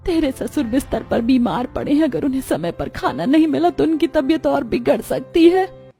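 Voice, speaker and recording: A woman's voice: high voice, animated, normal speed, warm tone, faint music behind. A woman voicing a distressed elderly grandmother who laments in a melodramatic children's cartoon. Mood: dismayed.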